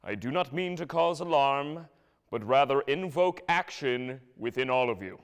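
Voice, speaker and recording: A man's voice: mid-range voice, animated, normal speed, clear, resonant tone, lightly acted. An actor playing a domineering, scornful military father in a staged musical, with a commanding, blustering delivery. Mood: grave oratory. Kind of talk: staged musical theatre acting, oratory. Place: live staged reading before an audience. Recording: echoey live stage hall, music behind.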